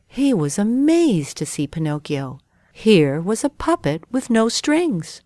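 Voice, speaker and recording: A woman's voice: sits low, a little mellow, dry recording. A woman reading a scripted children's story as a storyteller.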